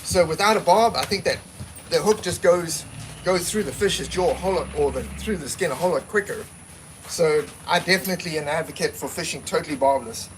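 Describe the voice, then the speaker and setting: A man's voice: fairly high pitch, fairly quick, a little hiss or hum, rough recording. A man giving a casual, practical talk at a seminar, plainspoken and given to repeating himself while he explains. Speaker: seminar presenter.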